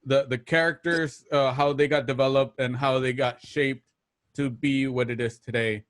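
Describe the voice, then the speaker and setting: A man hosting an online show, giving casual, slightly halting announcements and plugs to listeners. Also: medium pitch, steady tone, fairly bright tone. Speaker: host of an online radio drama show.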